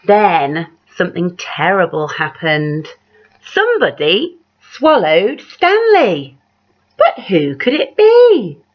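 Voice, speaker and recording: A woman reads a picture book to young children with unhurried, dramatic delivery. Her voice sits a little low, and she pauses to put questions to her listeners. The sound is slightly dull and lo-fi. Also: sing-song. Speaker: teacher reading a picture book aloud. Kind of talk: expressive children's story reading with asides to young listeners.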